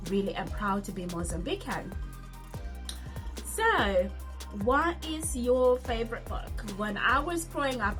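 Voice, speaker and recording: Woman in her thirties, mid-pitched, chatty vlogger who asks herself questions, music playing under her. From Zimbabwe. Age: 30s. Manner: chatty, reads out each question then answers it. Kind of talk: casual get-to-know-me tag.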